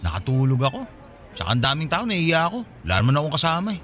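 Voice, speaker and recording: Young male laid-back boyfriend type for radio drama; highly animated, slightly high voice, music behind. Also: racing. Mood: casual, sheepish. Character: easygoing, unromantic young man, a loyal boyfriend. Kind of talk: Filipino radio drama acting.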